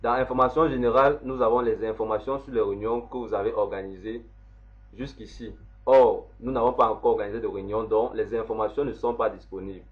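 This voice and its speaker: A man, slowly walking through a software setup step by step as an instructor. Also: on the low side, fairly even intonation. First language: French. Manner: slow, step-by-step explaining. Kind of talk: screen-recorded software tutorial. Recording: dull lo-fi voice recording.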